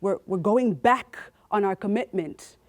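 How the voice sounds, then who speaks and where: A woman hosting a televised studio debate, introducing guests and putting questions to them in a brisk way. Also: medium pitch. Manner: brisk, leads applause. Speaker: debate host. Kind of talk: TV studio moderating.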